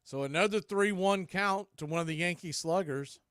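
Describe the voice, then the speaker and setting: Guy doing live baseball radio play-by-play, voice a bit high, short clipped calls, close dry sound. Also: moderate pace, a bit bright, smooth, no echo.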